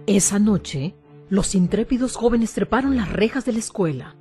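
A woman's voice: mid-range voice, lively intonation, moderate pace, warm tone, faint music behind, lightly acted. A woman reading scripted, suspenseful narration as the storyteller of a spooky radio drama.

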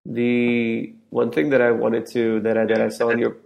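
A man's voice: mid-range voice, completely flat delivery, moderate pace, vocal fry, darkish tone, rough recording. A man hosting a podcast interview over a remote call, asking questions in a casual, loose way and rephrasing them as he goes. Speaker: podcast host.

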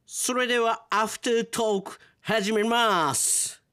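A male radio announcer narrating a drama and then chatting playfully with listeners, with jokes and bursts of rapid talk. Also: sits high, fairly even intonation, pushed and bright, clean tone, no room sound. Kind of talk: radio drama narration and casual host chat.

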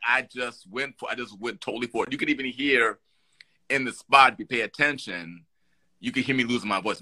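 A middle-aged man, a guest actor, telling animated stories from his work in an interview. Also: mid-range voice, breathy edge. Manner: animated, lively storyteller with restarts. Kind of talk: storytelling in an interview.

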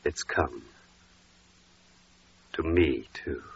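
Devout carpenter husband, biblical radio drama: unhurried, formal, earnest, very low voice, dull vintage sound. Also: male voice, gravelly, slight background noise, exaggerated voice. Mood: earnest, awed. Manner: reverent, deliberate, formal phrasing. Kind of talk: 1940s radio acting. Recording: muffled 1940s radio recording.

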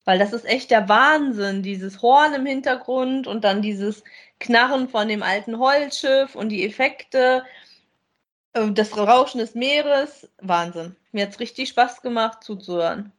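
A woman's voice: a little high, measured pace. A woman, co-hosting a podcast and chatting casually as she gives a review. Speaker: podcast co-host.

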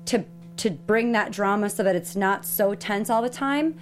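A woman talks in a middle-pitched voice. She is a panelist in a casual discussion, giving feedback in a chatty, emphatic way. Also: moderate pace.

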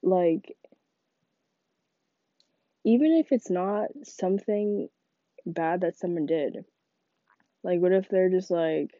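Young woman podcaster, congested and stuffy, slow rambling delivery, dark mellow tone, dull muffled dry recording. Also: on the low side, dry recording. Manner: rambling, frequent "like" fillers. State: congested from a cold. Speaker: podcast host. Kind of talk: casual solo monologue.

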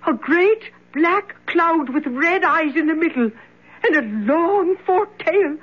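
A woman's voice: very high voice, slow. An actress voicing a chatty, tipsy Irish hospital cleaning woman in an old-time radio drama. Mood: awed, flustered. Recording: dull lo-fi old radio recording.

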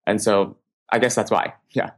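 A young man in his twenties, a startup cofounder, giving measured answers in an interview with some hesitation. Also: fairly low pitch, steady tone.

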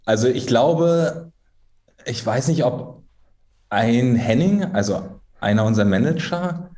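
A man's voice: medium pitch, measured pace. A man in his thirties from Berlin chats as a guest about his life as a drummer. He tells stories at an easy pace, hedges a lot and laughs at himself. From Berlin, Germany. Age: 30s. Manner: relaxed storyteller, hedging, self-deprecating laughs. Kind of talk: casual interview chat.

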